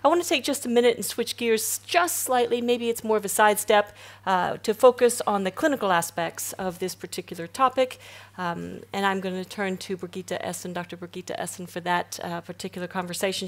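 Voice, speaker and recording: A middle-aged woman moderating a stage panel, introducing speakers in a smooth, practiced way. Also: medium pitch. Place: stage in a hall with audience.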